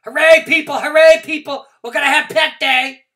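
A woman voicing a loud, excitable kindergarten girl who shouts and chatters breathlessly. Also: mid-range voice, normal speed, far from the mic, pitched above the performer's own voice. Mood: thrilled shouting. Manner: shrieking, bouncy, breathless exclamations.

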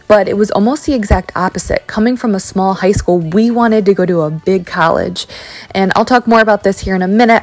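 A woman's voice: mid-range voice, a little mellow. A woman in her thirties chatting casually as a home cleaning vlogger, telling a personal story with lots of ums and likes. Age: 30s. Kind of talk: casual chat over cleaning footage. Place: carpeted home basement and small tiled bathroom.

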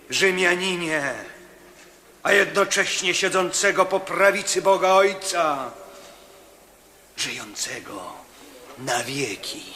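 An actor playing a fervent apostle preaching solemnly to a Roman governor in a radio drama. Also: male voice, fairly high pitch, bright, forceful tone, a little hiss or hum. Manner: impassioned, solemn, declamatory. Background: music.

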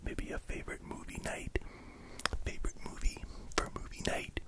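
A performer voicing a playful, gently menacing vampire dog count in a slow, repetitive ASMR whisper, close to the microphones. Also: natural voice. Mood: playful, soothing. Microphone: close pair of microphones.

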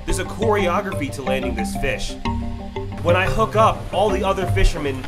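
A man's voice: sits high, normal speed. A young man eagerly narrating his first salmon catch as a voice-over. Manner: excited, earnest storytelling. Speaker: vlog narrator and angler. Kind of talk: voice-over narration of a fishing trip. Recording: voice-over with loud background music.

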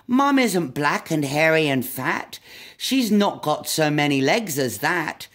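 A woman's voice: low voice, big pitch swings, slow, full character voice. A woman reading a storybook aloud as a lost, upset young monkey who protests in exasperation. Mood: exasperated.

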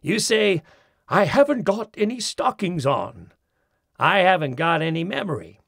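Gravelly-voiced man reading wryly. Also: high voice, sing-song, measured pace, fairly bright tone, no room sound. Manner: mimics accents in quoted phrases, dry wit. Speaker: audiobook narrator. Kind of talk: expressive reading of a humorous essay. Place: studio. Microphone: close studio mic.

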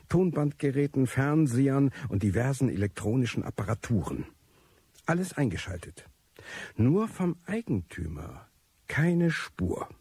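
Earnest on-scene reporter in radio drama, emphatic, deliberate delivery with theatrical weight. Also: male voice, lowish voice, dark tone, slightly rough, no room sound, exaggerated voice. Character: earnest male news reporter on a mystery scene.

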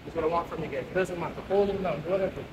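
High-voiced man coaching in an echoey gym. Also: very fast, far from the mic, lo-fi sound. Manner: explanatory, step by step. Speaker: jiu-jitsu coach. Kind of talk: instructing a class. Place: large indoor gym. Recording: echoey gym with background noise.

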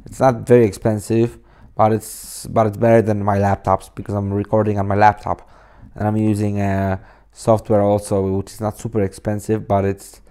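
A man's voice: lowish voice, level tone, normal speed, mellow, smooth. A man in his twenties from Poland, Polish native, hosting a casual coding tutorial over a screen recording, rambling with many restarts and fillers into a cheap desk microphone. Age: 20s. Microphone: cheap desk microphone on a laptop.

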